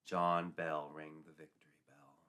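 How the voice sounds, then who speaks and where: A man's voice: a bass voice, level tone, unhurried, sharp tone, clean tone. A man narrating a folklore tale as a storyteller, in a dramatic scripted style.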